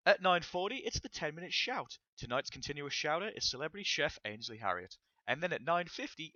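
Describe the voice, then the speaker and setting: Man as bright-voiced deadpan radio continuity announcer. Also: a little high, no echo, dull audio. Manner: measured, deadpan.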